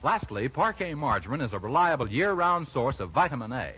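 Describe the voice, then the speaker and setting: A man's voice: medium pitch, sing-song, brisk, pushed and bright, breathy edge. A man announcing on 1940s radio with a polished, emphatic sales delivery. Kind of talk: 1940s radio ad read and scripted narration. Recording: muffled, hissy 1940s radio recording.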